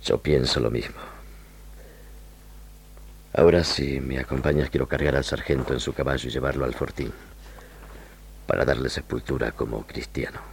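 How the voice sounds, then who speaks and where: An actor playing an honorable, humane army lieutenant with earnest, measured, formal phrasing in a radio drama. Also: male voice, extremely low pitch, fairly even intonation, vocal fry, slightly rough, heavily acted. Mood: earnest, sober.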